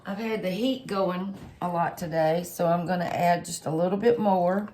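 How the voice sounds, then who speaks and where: A woman, a little hoarse and stuffed up, chatting homily through a cooking tutorial for her viewers. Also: on the low side, fairly even intonation, moderate pace, noisy background. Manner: homey, chatty, lots of fillers, addresses viewers directly. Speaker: home cook channel host.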